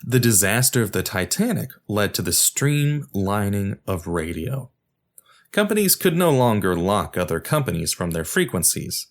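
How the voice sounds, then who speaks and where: A man hosting a podcast, reading scripted educational narration in a conversational way with casual asides. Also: on the low side. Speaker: podcast host.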